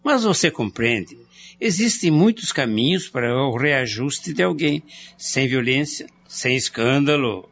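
A careful husband in an old radio play, voice goes up and down a lot, muffled with music behind. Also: male voice, mid-range voice, animated, unhurried, creaky, fairly bright tone, a little hiss or hum. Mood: thoughtful. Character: worried husband, middle-aged, cautious and reasonable. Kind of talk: radio drama acting.

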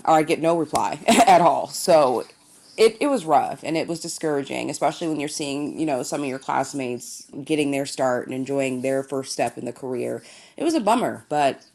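Low-voiced young woman news anchor, bit raspy, laughs a lot while telling her story. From Indiana, USA. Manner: warm storytelling, laughs often. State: slightly raspy voice. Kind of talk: interview answers.

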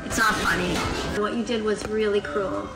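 A woman's voice: medium pitch, steady tone, moderate pace, vocal fry. A woman recording an earnest, admonishing personal video message as an activist. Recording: echoey room with loud music. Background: loud music.

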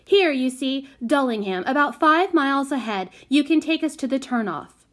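A woman voicing a bossy, impatient, sharp-tongued girl in a storybook reading. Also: very high-pitched, huge pitch swings, a little slow, pitched above the performer's own voice, exaggerated voice.

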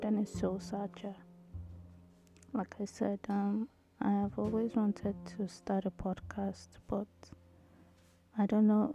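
A woman in her thirties with a tiny, soft voice hosting her own podcast, talking hesitantly with many ums. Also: medium pitch. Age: 30s. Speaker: podcast host. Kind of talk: casual solo podcast intro.

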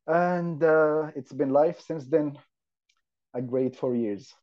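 A man's voice: a little high, slow. A man of twenty-two from Saudi Arabia with an Arabic accent, telling his own story casually and informally as a podcast guest. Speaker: podcast guest, university student. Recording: slightly dull lo-fi audio.

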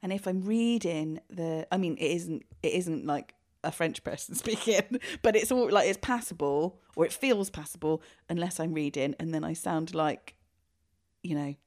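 A woman, co-hosting a music podcast and telling animated stories with laughter. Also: on the low side, moderate pace. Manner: animated storyteller, laughs through lines. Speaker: podcast co-host. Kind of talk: casual chat.

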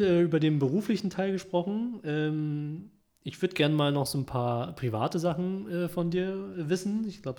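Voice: medium pitch, warm tone. A podcast host asking questions in a casual interview, friendly and encouraging, with many fillers.

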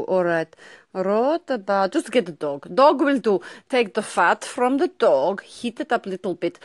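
A woman's voice: mid-range voice, dry recording. A woman playing a brash, blunt, self-loving Russian woman who scolds in broken English as host of a comic advice show. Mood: deadpan, bossy. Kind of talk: improvised comic monologue answering caller messages.

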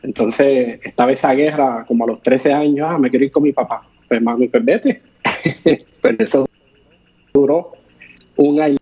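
Husky rambling man. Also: mid-range voice, normal speed, dark tone, lo-fi sound. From born in Chicago, raised partly in Puerto Rico. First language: English. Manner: rambling, many fillers and restarts, laughs often. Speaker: guest. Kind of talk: casual live interview chat.

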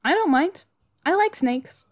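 An earnest young student from an audio drama, acted by a woman, with a high voice that swings about freely. The manner is matter-of-fact and very literal. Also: lively intonation, a little slow. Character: earnest, literal-minded young student who likes snakes. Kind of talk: audio fiction acting.

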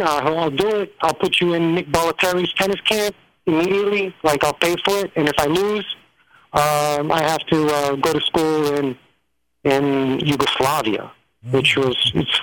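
A man's voice: fairly high pitch, a bit bright, rough recording. A man, telling his life story as an interview guest, rambling with many fillers and restarts. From New York.

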